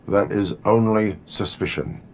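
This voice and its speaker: An actor playing a stoic cyborg police officer in a video game, speaking in formal, deadpan, clipped phrases. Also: male voice, on the low side, a little slow, natural voice. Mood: stern, impassive.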